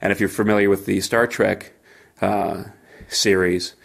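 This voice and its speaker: Gravelly-voiced man with a head cold. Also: sits low, little pitch movement, measured pace. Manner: rambling, many fillers and restarts. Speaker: podcast host. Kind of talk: solo podcast monologue. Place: hotel room. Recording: slightly echoey hotel room.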